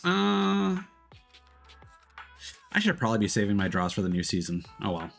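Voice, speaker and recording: A man's voice: lowish voice, big pitch swings, moderate pace, vocal fry. A man giving casual live gameplay commentary as a streamer, musing to himself and talking to the game. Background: game music.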